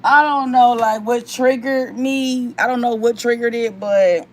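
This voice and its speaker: Hoarse young woman, slightly high voice, casual rambling vlog chat to viewers. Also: fairly even intonation, measured pace, slight background noise. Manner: chatty and rambling, addresses viewers directly. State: hoarse, voice mostly gone. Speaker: vlogger.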